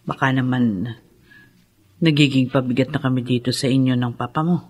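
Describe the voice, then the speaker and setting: Low-voiced, concerned mother. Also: fairly even intonation, normal speed, darkish tone, slightly rough. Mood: concerned, apologetic. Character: gentle, apologetic middle-aged mother. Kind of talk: horror radio drama acting.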